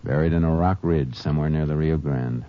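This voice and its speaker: An actor playing a calm, steady male Texas Ranger in a 1950s radio drama, laconic and even-tempered. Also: rumbling low, fairly quick. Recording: noisy, dull old radio transcription.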